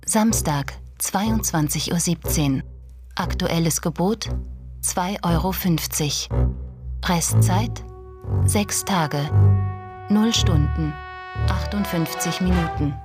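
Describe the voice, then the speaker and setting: A woman's voice: on the low side, takes it slowly, long pauses, over music. An actress playing the detached female voice of an auction website, reading clipped data formally in a radio drama. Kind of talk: scripted announcing in a radio crime drama.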